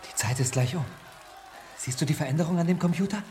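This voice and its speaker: A man playing an eager, awestruck attendant in a radio drama, excitable and prone to stammering repetition. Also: on the high side, quick pace, a faint crowd, a little hiss or hum, natural voice. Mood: awed anticipation. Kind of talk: German radio drama acting. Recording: echoey hall reverb.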